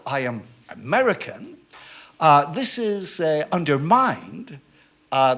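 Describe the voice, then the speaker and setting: A man speaking as a professor on a panel, pausing often with filler sounds and restarting his sentences. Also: a little high. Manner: hesitant, many filler sounds. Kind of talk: academic panel talk. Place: conference room.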